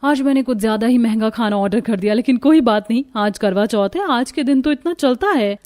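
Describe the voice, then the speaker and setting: A haughty young daughter-in-law from a cartoon family drama, played by a woman storyteller. She speaks in a fairly high voice, showing off her wealth and looking down on others with spiteful condescension. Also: fairly quick, a little mellow, close to an everyday voice. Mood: boastful. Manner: taunting, condescending.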